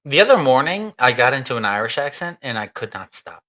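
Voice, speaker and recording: A man co-hosting a podcast, joking and swearing in quick casual banter. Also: medium pitch, very animated, bright, forceful tone. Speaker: podcast co-host.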